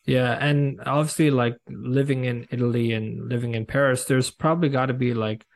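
A man hosting an interview podcast, speaking conversationally in a casual, somewhat halting way. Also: medium pitch, steady tone, creaky.

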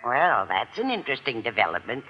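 A woman's voice: extremely low pitch, sing-song, fairly quick. An American actress playing a sweet, frank old landlady, motherly and matter-of-fact, in an old radio sitcom. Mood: frank curiosity. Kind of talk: 1940s-50s radio sitcom acting. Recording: dull old radio recording.

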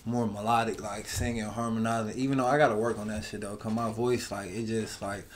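A soft-voiced, raspy man from Cleveland, a rapper as guest, chatting in a laid-back, slangy way full of "like". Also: fairly low pitch, little pitch movement, brisk. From Cleveland, Ohio. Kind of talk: casual interview chat.

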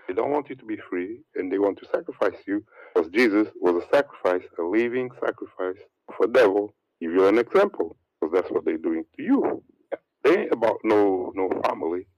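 A man's voice: no echo, highs cut off. A man giving a heated, rambling religious monologue, repeating words and lists as he preaches. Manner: preachy, rambling, repeats words, mocks others in a put-on high voice. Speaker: religious commentator.

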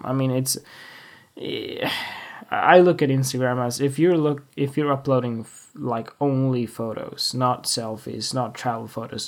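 Young freelance photographer, vlogging to camera, rambles and restarts, mid-pitched voice. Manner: rambling, chatty, many fillers and restarts. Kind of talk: casual vlog talk to camera.

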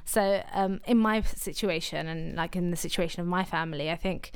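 A young woman from Afghanistan answering interview questions in a measured way, often pausing with fillers and restarting words. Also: fairly low pitch, clean tone.

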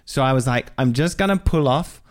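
A man hosting a casual podcast, telling a story in an animated way with lots of quick hedges. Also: mid-range voice. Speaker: podcast host. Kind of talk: casual chat.

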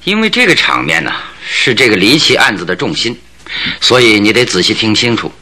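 A self-assured detective in a radio drama. His voice is fairly low and bright, with lively rises and falls. He recounts his case deliberately and emphatically, with a teasing edge. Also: heavily acted. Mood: grave, deliberate. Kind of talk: radio drama acting, storytelling dialogue.

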